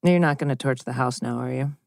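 A woman's voice: very deep voice, quick pace, vocal fry, a little mellow, no room sound, clean studio sound. A woman playing an oblivious middle-aged mother, arch and coaxing, in a TV teen drama table read. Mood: wary, then relieved.

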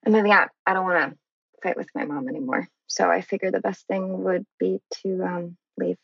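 A woman playing a fed-up, sardonic teenage girl in a drama table read, with a husky, creaky voice. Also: fairly low pitch, fairly even intonation, brisk, dry recording, highs cut off. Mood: wry.

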